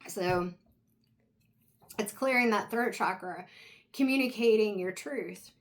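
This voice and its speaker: Woman, tarot reader, voice going hoarse, mid-pitched, reflective and meandering, talks to the viewer. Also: takes it slowly. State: losing her voice, clearing her throat. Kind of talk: casual intuitive tarot reading.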